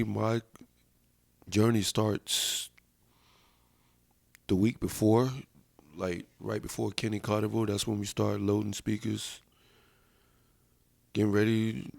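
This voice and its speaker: Gravelly low-voiced man telling stories. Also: a little mellow, no echo. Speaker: podcast co-host, sound system operator. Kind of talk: casual podcast chat.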